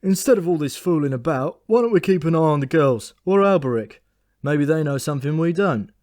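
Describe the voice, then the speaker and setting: A grumbling raven from a comic fantasy storybook, acted out by a man, pitched up and irritable. He talks bluntly and colloquially, like someone fed up with his job, in a dry, close recording. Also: lively intonation, a little fast, darkish tone, no echo, lightly acted. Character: grumbling, short-tempered, world-weary male talking raven. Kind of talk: comic fantasy audiobook character voice.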